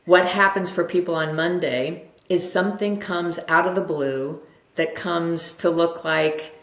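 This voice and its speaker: Woman, lowish pitch, emphatic coaching talk, conversational storytelling, repeated phrases, echoey room. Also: fairly even intonation, unhurried, fairly bright tone. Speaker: interview guest, self-development coach. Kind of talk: conversational motivational explanation with anecdotes. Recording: roomy, echoing sound.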